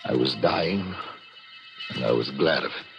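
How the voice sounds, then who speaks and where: A tormented, guilt-ridden man in an old-time radio thriller. His voice is low and brooding, breaking into melodramatic desperation, with music underneath the vintage recording. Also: lively intonation, unhurried, fairly bright tone, dull audio, heavily acted. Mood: bleak, resigned. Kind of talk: 1940s radio thriller acting, first-person narration.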